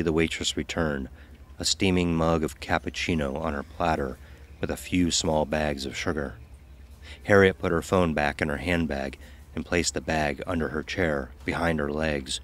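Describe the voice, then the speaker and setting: A man narrating a scripted dark audio story. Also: extremely low pitch.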